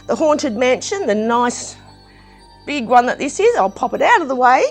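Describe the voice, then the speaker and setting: A woman with a slightly croaky voice, chatting casually through a hands-on review of a building set, with plenty of ums and restarts. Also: high voice, big pitch swings, normal speed, soft background music. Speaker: brick set reviewer, channel host.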